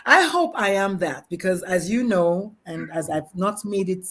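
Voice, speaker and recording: A woman with a Nigerian accent hosting a live interview, talking in an excitable, rambling, effusive way. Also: fairly low pitch, measured pace, husky. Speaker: host.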